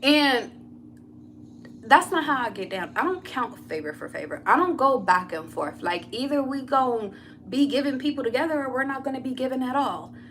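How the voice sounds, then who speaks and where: A woman's voice: high voice, animated, normal speed, fairly bright tone, a little hiss or hum. A woman, a tarot reader, telling a personal story to her viewers in a blunt, candid way, swearing freely and talking straight to the audience.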